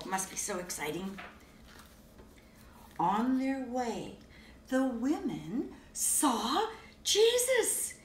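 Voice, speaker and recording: Older lady reading a bible storybook to kids in her living room, soft and expressive, kinda far from the mic. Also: high-pitched. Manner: slow, gentle and expressive, like reading aloud to children. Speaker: children's message speaker. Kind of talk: storybook telling for children. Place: living room, seated in an armchair. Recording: echoey room, distant mic.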